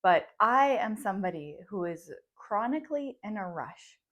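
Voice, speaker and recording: Woman in her thirties, psychologist and coach, first language Russian; reflective talk to camera, quick laughs between thoughts. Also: mid-range voice, lively intonation, a bit bright. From grew up in the United States from age ten, born abroad.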